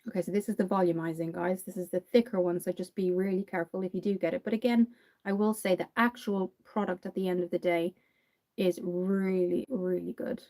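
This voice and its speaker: Young Irish woman, Dublin accent, chatty livestream makeup host, low mellow voice. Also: little pitch movement, a little fast. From Ireland. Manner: chatty, reads and answers viewer comments. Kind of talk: casual livestream makeup tutorial.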